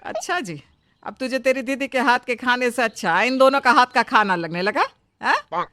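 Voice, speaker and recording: A woman's voice: on the high side, expressive, moderate pace, noisy background, natural voice. A woman voicing an irritable, jealous middle-aged Indian mother-in-law in a cartoon moral story, with a scolding, emphatic manner. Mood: defensive.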